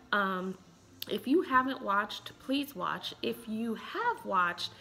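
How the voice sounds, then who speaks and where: A woman in her thirties with an American accent, talking in a casual, expressive way as a relationship expert giving a review. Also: mid-range voice. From Chicago, United States. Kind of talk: casual vlog commentary. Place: small room indoors at home.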